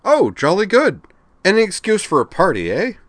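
A man's voice: high voice, wildly swooping pitch, moderate pace, dry recording, pitched above the performer's own voice. A man voicing a brash, foolish upper-class brother in an audiobook, braying cheerily. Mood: cheery, silly. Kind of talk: audiobook character voice.

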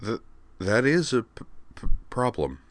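A man's voice: mid-range voice, sing-song, unhurried, no room sound, pitched above the performer's own voice. A man voicing a wry young woman roommate in an audiobook, who stutters heavily on her words. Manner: heavy stutter, dry and wry. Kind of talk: audiobook character voice.

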